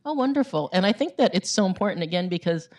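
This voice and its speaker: Low-voiced woman giving an informal book talk. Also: expressive, fast talker. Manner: informal, often tags statements with "right?". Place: bookstore event before an audience.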